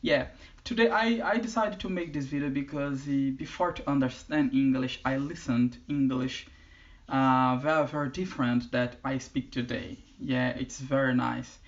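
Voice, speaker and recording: Brazilian man vlogging in careful, halting English, average pitch; muffled, lo-fi audio. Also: fairly even intonation. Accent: Brazilian Portuguese. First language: Portuguese. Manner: halting learner English, many restarts. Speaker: channel host. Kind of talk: casual vlog talk. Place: indoors in the UK.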